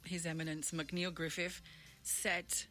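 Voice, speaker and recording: A woman's voice: lowish voice, little pitch movement, slow pace, sharp tone, faint music behind. A woman hosting a conversational interview, reflecting on and building on her guest's points.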